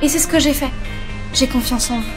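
An actress as a loyal, courageous fantasy heroine in an audio drama. Her high voice is earnest, formal and reassuring. Music plays clearly behind her. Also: fairly quick, lightly acted. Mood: resolute. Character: brave, trusting, loyal fantasy heroine from the forest. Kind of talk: French audio drama acting.

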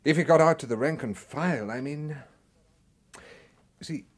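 An actor playing a sly, clubby British intelligence officer in a radio crime drama, hesitant and insinuating. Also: male voice, fairly high pitch, unhurried. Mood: discreet, hesitant. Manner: hesitant, insinuating, repeats himself.

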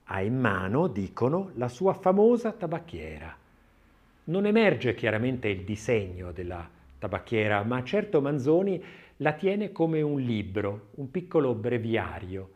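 Expressive male narrator with lively pitch swings. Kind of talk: scripted audiobook narration, art-history essay.

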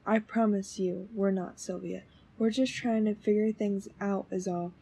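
A woman plays a gentle sidekick in an audio drama. Her voice is mellow, mid-pitched and husky, with soft, pleading reassurance. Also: steady tone, unhurried. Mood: reassuring, pleading. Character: gentle, reassuring female detective's companion.

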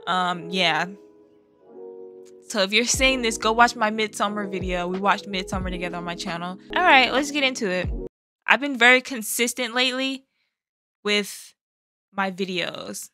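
An American woman in her twenties chatting casually as a reaction host, playful, animated and slangy, close on the microphone. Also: mid-range voice, moderate pace, faint music behind. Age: 20s. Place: small bedroom studio, indoors. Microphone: close boom-arm microphone.

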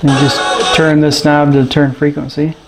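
Guy at his desk going over a radio, slow and easygoing, lots of ums and uhs. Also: fairly high pitch, flat delivery, music in the background. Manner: unhurried, hesitant, many ums and uhs. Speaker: radio reviewer. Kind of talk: casual hands-on product review. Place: indoor room at a desk with gear. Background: radio noise and static at times.

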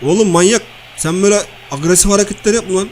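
Casting: male performer as crude, high-voiced comic everyman, slangy and blustering, nagging through cartoon game banter. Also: rapid-fire, darkish tone, slight background noise, lightly acted. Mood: loud, outraged. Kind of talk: comic video-game banter and match commentary.

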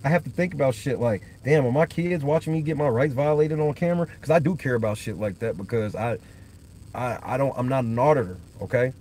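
A man in his thirties talks into a phone propped on the dashboard of his parked car at night. His voice sits at an ordinary pitch, and his talk rambles loose and profane. A faint hum of car and street noise stays underneath. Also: a little fast, darkish tone, rough recording. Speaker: livestream host. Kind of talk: casual livestream chat.

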